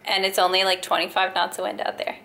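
A woman's voice: medium pitch, level tone, quick pace, gravelly, pushed and bright. A woman in her thirties chatting playfully on a travel vlog, laughing often, outdoors on a windy lighthouse gallery with a camera mic. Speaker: sailing vlogger. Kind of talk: casual travel vlog chat. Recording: wind noise on camera mic.

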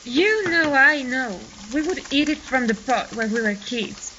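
Actress doing a deadpan lady lawyer, slightly high voice, muffled lo-fi sound. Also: unhurried, a little hiss or hum, full character voice. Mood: wry. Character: dry, patient woman attorney. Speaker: attorney character. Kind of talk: audio drama acting.

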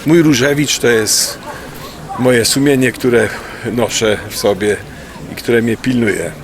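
A man's voice: medium pitch, slow, crowd noise. A poet being interviewed at a festival, a man speaking thoughtfully and hesitantly, with fillers. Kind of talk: spontaneous street interview.

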